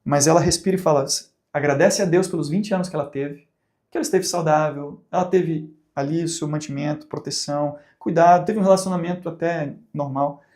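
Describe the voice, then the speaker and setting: Brazilian man teaching with a mellow voice. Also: on the high side, fairly even intonation, fairly quick. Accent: Brazilian Portuguese. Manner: conversational, asks rhetorical questions, acts out examples. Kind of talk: recorded teaching talk.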